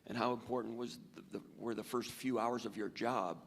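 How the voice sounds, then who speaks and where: A man's voice: mid-range voice, fairly even intonation, normal speed, gravelly. A man, a sports reporter, asking a long, winding multi-part question at a press conference. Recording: long echoey hall reverb.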